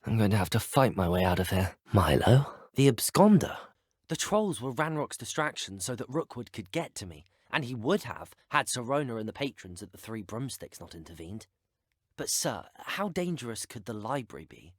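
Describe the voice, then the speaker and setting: A slightly breathy young British male student wizard for a video game. He is earnest, curious and well-spoken, with lively, swooping intonation in a close, dry recording. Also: medium pitch, moderate pace, no room sound. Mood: determined. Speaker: player character voice.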